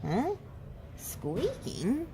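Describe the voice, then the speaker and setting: Woman playing an eager, high-voiced little girl. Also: huge pitch swings, extremely slow, noisy background, full character voice. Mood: excited. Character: small excitable girl, guinea-pig obsessed little sister.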